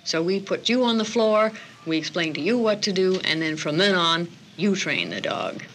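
A woman explaining something to a visitor in a patient, deliberate way. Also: on the low side, lively intonation, fairly bright tone, a little hiss or hum. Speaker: animal shelter staffer.

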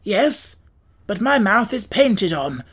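A woman's voice: medium pitch, very animated, takes it slowly, fairly bright tone, slightly rough, pitched above the performer's own voice, exaggerated voice. A woman voicing a blunt, practical straw scarecrow man from a storybook, talking in a wordy, wry, emphatic way. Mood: matter-of-fact.

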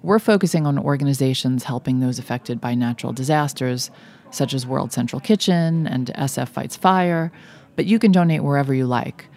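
Low-voiced female radio host, voice going, chatty and easygoing. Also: normal speed, darkish tone. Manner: chatty, friendly interviewer with fillers and restarts. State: losing her voice. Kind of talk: casual radio chat.

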